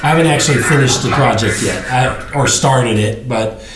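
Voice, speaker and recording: A man with a hoarse voice from a cold, hosting a casual hobby chat with a rambling, upbeat way of explaining things. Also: medium pitch, normal speed, fairly bright tone, echoey room, a little hiss or hum, poor audio. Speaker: host.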